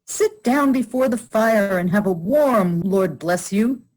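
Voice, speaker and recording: A woman's voice: medium pitch, lively intonation, slow, darkish tone, poor audio. A woman playing a fond, fretful middle-aged mother in a radio play. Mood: fond, motherly.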